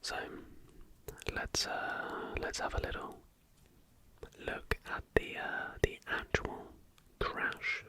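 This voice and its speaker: An ASMR host whispering throughout in a slow, unhurried way while presenting to listeners. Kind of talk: ASMR, softly whispered reading of facts with casual asides.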